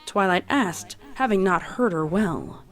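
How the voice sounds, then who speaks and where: A woman's voice: mid-range voice, animated, unhurried. A woman narrating a scripted story as a fan fiction reader. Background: music.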